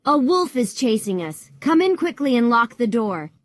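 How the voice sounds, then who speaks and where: A woman's voice: on the high side, sing-song, normal speed, dry recording. A woman voicing a young little pig, defiant yet afraid of the wolf, in a children's story. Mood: alarmed.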